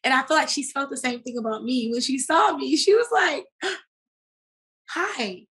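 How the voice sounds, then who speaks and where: A woman guest talking casually and informally in an interview, slangy and animated as she tells stories and quotes herself. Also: sits high, measured pace. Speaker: podcast guest, actress and business owner.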